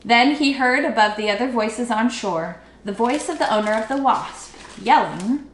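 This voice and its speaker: A woman reading a children's book aloud expressively, with dramatic, drawn-out emphasis. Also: on the high side. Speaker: storybook read-aloud teacher. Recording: echoey room.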